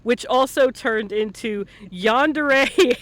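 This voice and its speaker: A man's voice: very high-pitched, slow pace, a bit bright, no echo. A man hosting a casual livestream interview, chatting and telling stories in a playful way. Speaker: interviewer and stream host. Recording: live online stream audio.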